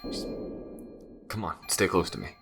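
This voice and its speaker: A man playing an earnest alien smuggler and loyal friend in an intimate audio roleplay, speaking haltingly and confidingly to the listener in a gravelly voice. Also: wildly swooping pitch, exaggerated voice. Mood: urgent, alarmed. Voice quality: very deep, gravelly. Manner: halting and confiding, with frequent pauses. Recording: music behind the voice.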